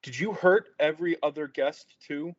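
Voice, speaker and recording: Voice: medium pitch, close to an everyday voice. A performer reading a sheltered young son questioning his mother in a table read. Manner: halting, questioning. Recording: dull lo-fi recording.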